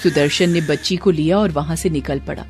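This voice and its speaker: A woman's voice: deep voice, steady tone, a little fast, faint music behind. A woman narrator delivering dramatic, scripted storytelling for a cartoon.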